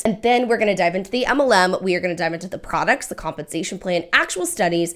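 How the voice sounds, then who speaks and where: A young woman host with a hoarse voice after illness, talking in blunt, chatty, critical commentary. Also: mid-range voice. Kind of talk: fast casual commentary to camera.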